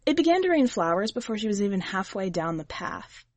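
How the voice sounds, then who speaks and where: A woman reading a short story aloud as narrator, in a measured, expressive way. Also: medium pitch, no room sound. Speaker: podcast editor reading fiction. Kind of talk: audiobook narration.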